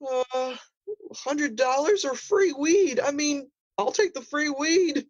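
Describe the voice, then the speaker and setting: A man's voice: slow pace, dull audio. A man voicing a small, naive but scheming cartoonish crab in improvised comedy, hesitant, pleading and rambling. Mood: casual, eager. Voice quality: high-pitched, small cartoonish voice. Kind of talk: improvised comedy role-play.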